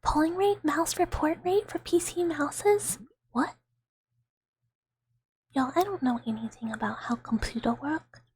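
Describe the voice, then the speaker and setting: A young woman streaming ASMR, whispering softly to her chat with giggles and playful squeaky outbursts, close to the microphone. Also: measured pace, long pauses, a little hiss or hum. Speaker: VTuber streamer.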